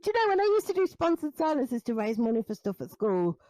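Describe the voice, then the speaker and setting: A woman's voice: high voice, sing-song, fast talker, mellow, no echo. A woman co-hosting a podcast in a casual, chatty way, joking and self-deprecating. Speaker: podcast co-host.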